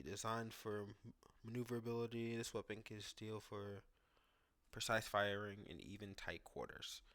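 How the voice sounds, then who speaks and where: Male gaming commentator, lowish and bright-edged voice, off-the-cuff and informal, reacting to footage as he thinks aloud. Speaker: gaming YouTuber.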